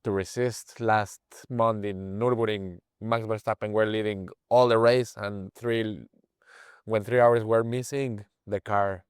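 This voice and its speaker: A man in his twenties from Mexico with a Mexican Spanish accent, speaking English as a second language, halting and searching for words as an interview guest. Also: fairly low pitch, fairly even intonation, smooth, no echo, studio quality. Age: 20s. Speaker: guest, page owner. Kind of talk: casual interview chat.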